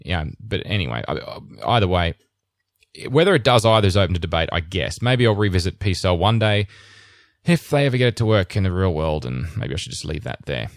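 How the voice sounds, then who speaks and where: A man's voice: low-pitched, sing-song, brisk, no echo, studio quality. A man hosting a technical podcast, talking in a rambling casual way with restarts and easy laughter. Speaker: podcast host.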